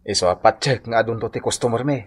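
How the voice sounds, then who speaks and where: A man's voice: medium pitch, fast talker, husky, close to an everyday voice. A man playing a humble older brother, deferential and coaxing, in an Ilocano radio drama. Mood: pleading. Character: humble, pleading older brother asking his sister for capital.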